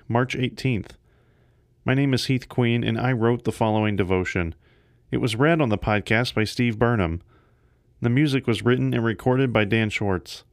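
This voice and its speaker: A man's voice: medium pitch, no echo, studio quality. A man reading a scripted podcast introduction as its host.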